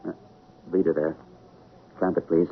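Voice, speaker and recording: A low-pitched, authoritative surgeon in old-time radio style. He explains formally and gives short commands with full composure. The sound is thin and band-limited, with some hiss and noise. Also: flat delivery, unhurried. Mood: formal, instructive. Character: composed, authoritative male chief surgeon lecturing while operating. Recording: narrow, noisy old radio recording.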